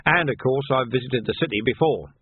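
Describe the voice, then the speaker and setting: A man voicing a shrewd, outwardly deferential police sergeant in an audiobook, measured and politely coaxing. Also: medium pitch, slightly rough, no room sound, lightly acted. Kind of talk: audiobook character voice. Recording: narrow, phone-like band.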